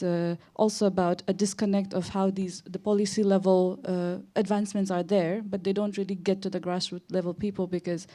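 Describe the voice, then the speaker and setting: A woman's voice: fairly low pitch, warm tone. A young woman from Nepal with a Nepali accent, making an informal comment from the audience at a conference. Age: young adult.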